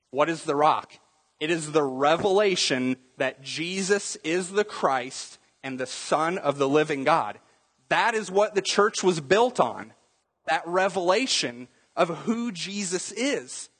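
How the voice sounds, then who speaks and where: A man's voice: fairly high pitch, fairly bright tone, husky, long reverb tail, poor audio. A man preaching a sermon in a church in a conversational teaching manner, quizzing the congregation with questions. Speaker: pastor.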